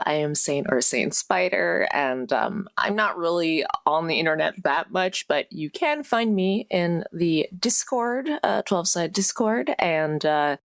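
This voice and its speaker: A woman chatting casually as a podcast player, in a hesitant, halting way. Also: deep voice, vocal fry, no room sound, clean studio sound. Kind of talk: casual chat.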